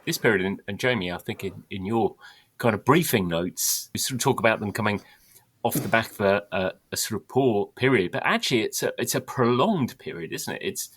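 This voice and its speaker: A man hosting a football podcast who speaks haltingly, stopping and restarting his sentences. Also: lowish voice, animated, fairly bright tone, slightly rough, dry recording. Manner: halting, stops and restarts often. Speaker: podcast host. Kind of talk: casual podcast chat.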